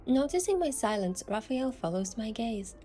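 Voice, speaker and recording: A woman's voice: fairly high pitch, big pitch swings, moderate pace, warm tone, faint music behind. A woman giving scripted first-person narration of an adventure story as its protagonist.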